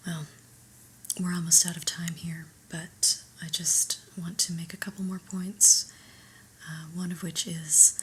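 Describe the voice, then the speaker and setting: Casting: woman as stern, unimpressed female office boss for ASMR roleplay; breathy whisper, lowish pitch, formal and very unhurried. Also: a little hiss or hum. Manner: measured, formal, reproving. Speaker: ASMR performer.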